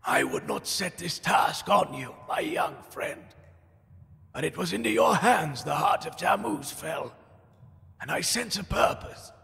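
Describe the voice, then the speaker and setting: An actor playing an old, grave and urgent male seer in a fantasy audio drama, with a raspy voice and a portentous, archaic delivery. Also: a little high, lively intonation, a little slow, bright, forceful tone, heavily acted. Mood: solemn. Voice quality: raspy, creaky.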